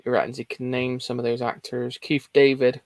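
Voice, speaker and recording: A man with a noticeably gravelly, mid-pitched voice co-hosts a casual livestream. The sound is very dry, with hardly any room on it, as he chats and reads comments. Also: flat delivery, fairly quick, no echo. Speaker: co-host reading out viewer comments.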